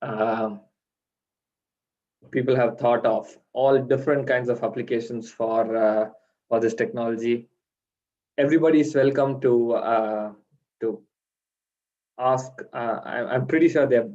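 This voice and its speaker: A man's voice: medium pitch, fairly even intonation, a little slow, long pauses, a little mellow. A man from India giving an online conference talk as a guest speaker, with many fillers and restarts. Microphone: video call. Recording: dull lo-fi video call audio.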